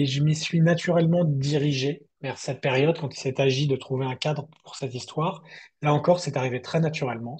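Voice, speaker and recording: Guy novelist on an online literary chat, slightly husky, thoughtful, keeps restarting as he thinks. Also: a little high, a little mellow. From southern France. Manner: thoughtful, halting delivery with repeated word starts. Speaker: novelist being interviewed. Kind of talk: reflective online literary conversation. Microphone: online video call.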